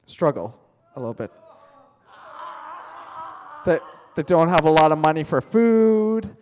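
Male teacher, playful class story, slow unhurried talk, slightly raised voice, echoey classroom. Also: a little high, sing-song, a little mellow. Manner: chatty, joking, calls on students by name.

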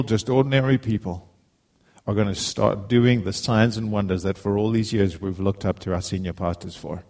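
A man's voice: fairly low pitch, fairly bright tone, poor audio. Heartfelt man preaching casually. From Zimbabwe. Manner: heartfelt, conversational, repeats words when starting phrases. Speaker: guest preacher. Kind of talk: informal sermon.

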